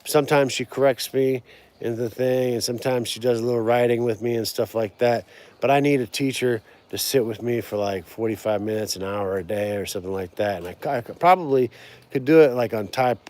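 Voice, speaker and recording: A man's voice: medium pitch, steady tone, fairly quick, a little mellow. A man hosting a casual outdoor live stream, rambling, repeating words and laughing often.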